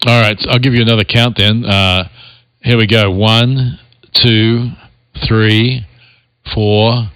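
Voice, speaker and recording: A man's voice: lowish voice, flat delivery, slow pace, fairly bright tone, smooth, no echo. A man working as an amateur radio operator, talking steadily and counting out numbers for a signal check. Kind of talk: on-air radio contact chat.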